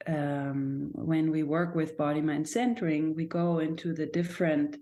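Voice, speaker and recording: German woman, low mellow voice, talks slow and thoughtful, lots of restarts. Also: steady tone. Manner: hesitant, searching for words with many restarts. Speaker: guest, dance and movement teacher. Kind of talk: reflective podcast conversation.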